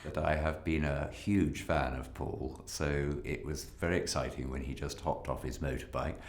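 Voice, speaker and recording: Male actor, extremely low voice, casual interview delivery, roomy echo. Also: fairly even intonation, normal speed.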